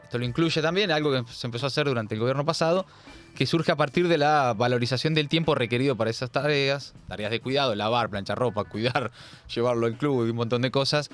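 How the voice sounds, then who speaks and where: A man's voice: medium pitch, quick pace, soft background music. A man with a Rioplatense Argentine accent hosting a political commentary show, talking in an emphatic, sardonic, slangy way. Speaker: host.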